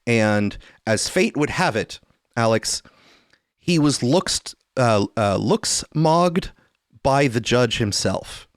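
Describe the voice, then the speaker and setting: A man hosting a podcast in casual chat, talking in a halting, wry, amused way. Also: medium pitch, expressive, no echo, studio quality. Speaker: podcast host.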